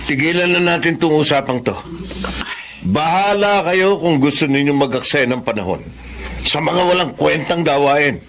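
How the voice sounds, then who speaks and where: Stubborn, money-minded Filipino patriarch, middle-aged, highly varied delivery for radio drama. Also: male voice, lively intonation, moderate pace, a bit bright, a little hiss or hum. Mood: indignant. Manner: emphatic, argumentative.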